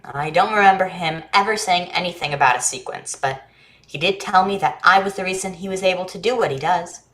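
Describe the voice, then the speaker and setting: Woman acting an earnest child, bright low voice. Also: fairly quick, close to an everyday voice. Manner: plain-spoken, literal, inquisitive. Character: earnest, literal-minded, curious schoolchild named Nikolai. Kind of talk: staged reading of a science fiction play.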